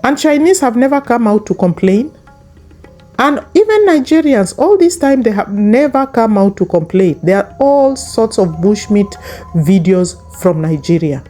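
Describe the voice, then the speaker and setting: Emphatic woman with a mellow voice. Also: on the high side, sing-song, normal speed, soft background music. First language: not English. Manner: emphatic, repetitive, speaks straight to viewers. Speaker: YouTube commentator. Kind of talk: reaction commentary monologue.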